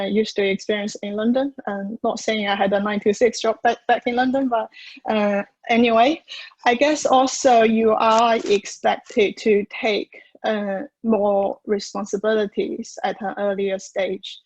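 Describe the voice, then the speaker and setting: A woman's voice: mid-range voice, darkish tone, no room sound, poor audio. A woman in her twenties speaking on a conference panel, hedging often with fillers and restarts.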